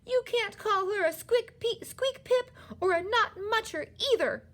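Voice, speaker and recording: Woman as a high-pitched, feisty storybook girl. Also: very animated, unhurried, slightly rough, full character voice. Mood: indignant. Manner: emphatic, impassioned exclamations. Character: feisty, indignant, determined young girl. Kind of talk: children's storybook character reading.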